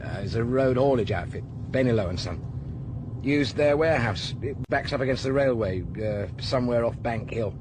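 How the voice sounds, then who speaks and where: A man's voice: mid-range voice, moderate pace, close to an everyday voice. An actor playing a wry, world-weary, middle-aged police detective in a naturalistic radio drama, musing and hesitant as he talks. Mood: wry, explanatory. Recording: loud car and vehicle noise behind.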